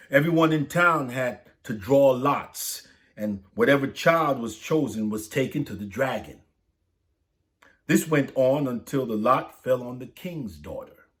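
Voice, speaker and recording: A man reading a saint's story aloud for children, lifting his voice for the quoted lines. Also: on the low side. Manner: lively, lifts voice for dialogue. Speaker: storybook reader.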